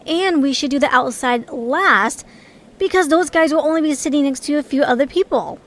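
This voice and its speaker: A woman's voice: high-pitched, normal speed, fairly bright tone. A woman voicing a bossy, sardonic young adventurer in an amateur audio drama, dismissive in manner. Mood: smug, impatient.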